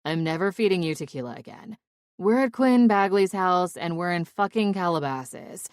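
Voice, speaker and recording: A woman's voice: on the low side, lively intonation, moderate pace, no room sound, clean studio sound, close to an everyday voice. A woman voicing an exasperated man who scolds and minds his drunk friend in an audiobook.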